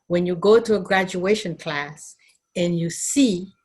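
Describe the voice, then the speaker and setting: Haitian-accented woman, low voice. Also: darkish tone, husky. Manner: earnest, thoughtful, pauses with fillers and restarts. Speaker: nurse and nursing educator, interviewee. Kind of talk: recorded interview, personal recollection.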